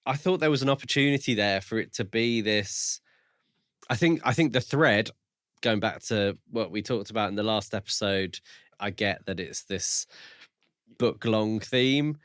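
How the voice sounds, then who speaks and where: A man co-hosting a podcast, discussing a book casually in a hesitant, measured way. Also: lowish voice, a bit bright, dry recording, clean studio sound. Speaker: podcast co-host reviewing a book.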